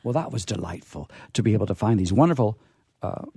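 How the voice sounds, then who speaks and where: A man's voice: on the low side, animated, creaky, warm tone, breathy edge, no echo. Chatty male host, dry studio sound. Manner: halting, repeats words as he goes. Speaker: radio theatre host. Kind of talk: casual, chatty show hosting.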